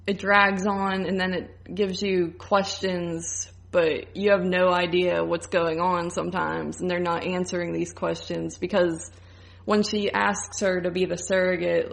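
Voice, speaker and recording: A woman's voice: lowish voice, creaky. A woman co-hosting a podcast, talking through a film in casual, conversational review chat. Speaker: podcast co-host.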